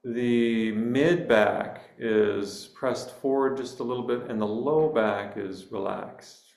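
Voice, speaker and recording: A man leading a guided meditation as a teacher, speaking gently and unhurriedly with deliberate pauses. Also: mid-range voice, vocal fry. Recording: roomy, echoing space.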